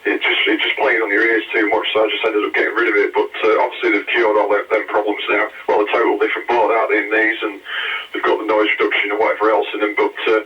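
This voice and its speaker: Low, flat man over CB radio. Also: fast, slight background noise. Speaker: amateur CB radio operator. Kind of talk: casual two-way radio chat. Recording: dull, distant CB radio transmission. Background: radio transmission from a parked car.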